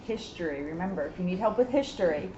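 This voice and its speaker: A woman's voice: on the low side, lively intonation, quick pace. A woman, a police officer, making casual chatty asides during a read-aloud. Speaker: police officer co-reading, chiming in with remarks. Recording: roomy, dull lo-fi, faint background noise.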